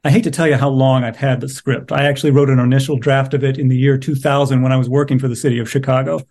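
A man's voice: mid-range voice, little pitch movement, fast, darkish tone. A middle-aged man speaking as an interviewed playwright, casual, reflective and a little wry.

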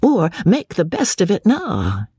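A narrator as a courtly, bland gentleman, husky-toned. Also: medium pitch, huge pitch swings, measured pace, dry recording, studio quality, pitched above the performer's own voice, exaggerated voice. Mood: sly, coaxing. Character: smooth, courtly, mild English gentleman cousin, slyly encouraging.

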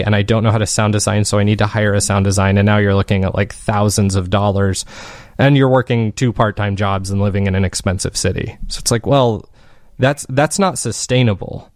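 A man's voice: on the low side, a little mellow, no room sound, clean studio sound. A man talking casually as an interview guest, explaining things in a rambling, self-correcting way. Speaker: podcast creator interviewed as guest.

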